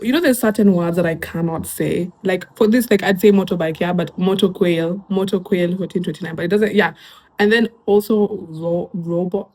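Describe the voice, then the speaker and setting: A young woman with a Kenyan accent talks casually in a middle-pitched voice. She is chatty and easygoing, with plenty of fillers. She slips Swahili phrases in between her English. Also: darkish tone. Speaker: YouTuber reacting to online posts. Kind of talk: casual reaction commentary.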